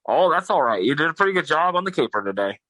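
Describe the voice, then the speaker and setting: A man's voice: fairly high pitch, sing-song, quick pace, bright, forceful tone, no echo, heavily acted, rough recording. A man playing a bumbling, self-important government agent, breezy and pompous, in old-time radio comedy style.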